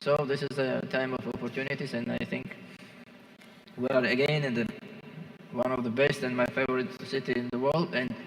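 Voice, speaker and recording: A man who is not a native English speaker, giving halting, impromptu remarks as a conference panelist. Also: medium pitch, flat delivery, gravelly. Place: large conference hall. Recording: echoing hall with long reverb.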